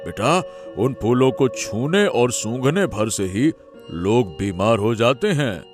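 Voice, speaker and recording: A man plays a protective village headman and father in a cartoon fable. He explains in an unhurried, medium-pitched voice over faint music. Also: expressive. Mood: alarmed, warning. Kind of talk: Hindi animated moral story acting.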